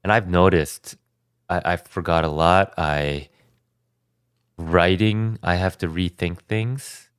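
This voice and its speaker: A young American man telling his life story as a podcast guest, talking in a relaxed, rambling way with many restarts. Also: sits low, slow, smooth, no echo, studio quality. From United States. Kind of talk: casual conversation.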